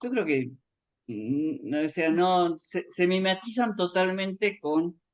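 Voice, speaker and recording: A man's voice: high voice, slow pace. Middle-aged Argentine male guest, conversational storyteller who meanders, dull lo-fi call audio. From born in Japan, grew up in Argentina. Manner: rambling, conversational, acts out remembered dialogue. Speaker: guest author giving a testimony. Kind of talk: personal reminiscence in an online talk.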